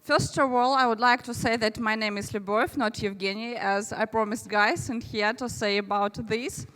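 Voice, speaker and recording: A woman with a Russian accent talks to a conference audience in a chatty, friendly way, adding asides as she goes. Her voice is a little high and bright. A large hall adds a long reverb behind her. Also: fairly even intonation, moderate pace. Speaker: conference presenter. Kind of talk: conference presentation.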